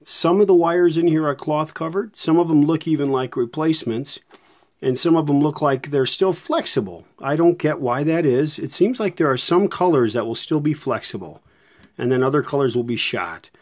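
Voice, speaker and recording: A man's voice: a little high, moderate pace, a little mellow. A man giving a casual, unscripted hands-on walkthrough as a host, rambling in a folksy way as he thinks aloud. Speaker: host, radio restorer.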